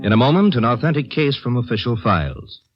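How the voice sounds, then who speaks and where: An American male announcer delivers a mid-century radio commercial. His intonation swings widely around an average pitch. The recording is dull and aged. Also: sing-song, brisk, loud music behind the voice. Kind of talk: 1950s radio advertising pitch. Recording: muffled vintage radio recording.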